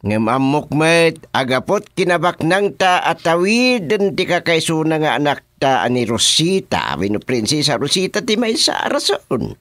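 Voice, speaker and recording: An actor playing a boastful king in a comic Ilocano radio drama, declaiming deliberately with an Ilocano accent. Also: on the high side, sing-song, fairly bright tone, dry recording. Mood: explaining. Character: boastful fairy-tale king, father of a princess.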